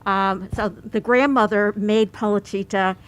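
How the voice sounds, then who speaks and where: A woman's voice: medium pitch, steady tone, a little slow, rough recording. American woman in the audience. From Detroit, United States. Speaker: audience member asking a question. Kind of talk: conversational personal anecdote. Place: bookstore event.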